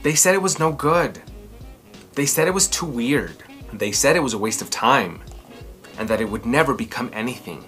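A man reads a picture book aloud for children with big, emphatic expression. His pitch moves in lively sweeps and his tone is bright and forward. Soft music plays behind him. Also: normal speed. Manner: theatrical, emphatic delivery. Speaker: children's storytime host. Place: small furnished room at home, indoors.

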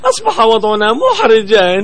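An actor voicing a sly, boastful snake in a children's cartoon, theatrical and quick to whine when scared. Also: mid-range voice, wildly swooping pitch, a bit bright. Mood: scheming. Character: sly, boastful, scheming snake, easily panicked. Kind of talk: Arabic-dubbed children's cartoon acting.

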